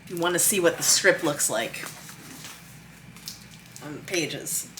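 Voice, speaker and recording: A woman's voice: sits low, animated, a little slow, a bit bright, poor audio. A woman talking casually and emphatically, thinking aloud as she explains her work in a vlog. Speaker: screenwriter and vlog host. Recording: noticeable background noise.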